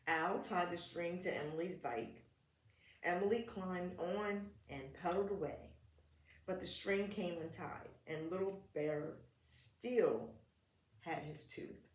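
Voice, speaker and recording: A woman's voice: on the low side, slow. A woman reading a picture book aloud to preschool children in short, simple phrases, talking to them directly. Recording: echoey room.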